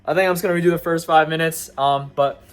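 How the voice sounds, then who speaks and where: A man's voice: fairly high pitch, steady tone, quick pace, smooth. A man in his early twenties giving a casual talking-to-camera reflection, rambling with many fillers and restarts and laughing at his own slips. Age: 20s. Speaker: vlogger.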